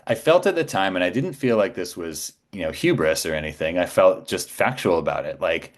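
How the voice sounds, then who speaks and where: A man's voice: sits low, expressive, a little fast. A man in his forties speaking as an interview guest, telling anecdotes casually and with self-assurance. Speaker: podcast guest, voice actor.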